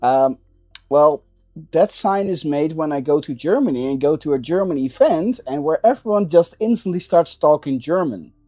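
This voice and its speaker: A man chatting casually while working on a build, thinking aloud in a halting way and repeating words. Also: a little high, lively intonation, dark tone, no room sound, clean studio sound, soft background music. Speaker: maker livestreaming a 3D printing build.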